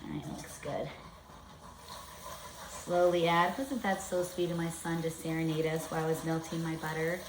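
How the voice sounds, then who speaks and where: A woman's voice: sits low, level tone, moderate pace, roomy sound, noisy background. A woman in her fifties giving a chatty, step-by-step home cooking demonstration in her kitchen. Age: 50s.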